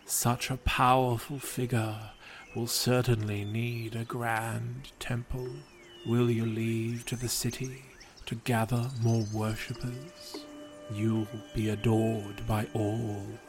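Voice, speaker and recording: A man voicing a hoarse, tearful old god. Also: mid-range voice, level tone, slow, soft background music. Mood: tearful, gentle. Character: humble old male god, tearful and gentle.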